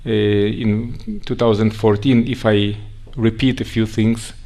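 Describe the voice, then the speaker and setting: A man with a Russian accent, raised in Siberia, giving a conference talk in a halting way with frequent restarts. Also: fairly low pitch, fairly even intonation, warm tone. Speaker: guest speaker.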